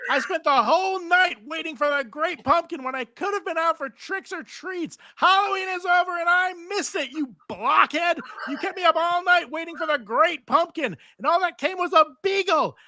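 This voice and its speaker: Man plays furious little cartoon girl, very high and shrill, indignant, forceful delivery. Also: no room sound, full character voice. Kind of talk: live comedic table read of a cartoon.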